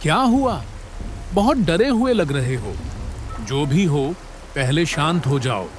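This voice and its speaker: A concerned village doctor, voiced by a man in a horror cartoon. His voice is fairly high and slow, reassuring and deliberate, with some rain noise behind it. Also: big pitch swings. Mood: concerned, calming.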